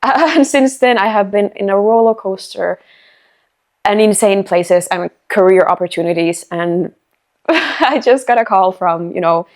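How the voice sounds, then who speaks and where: Young woman, bubbly, giggly, excitable, casual interview guest, medium pitch. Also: a little slow. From Finland. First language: Finnish. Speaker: artist guest.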